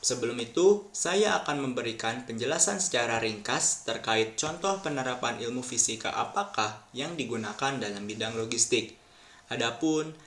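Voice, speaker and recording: A young man gives a class presentation, reading from a script in a formal, measured way. His voice sits at an ordinary pitch. The recording carries a clear echo from the room around him. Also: a bit bright. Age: young adult. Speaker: student presenter.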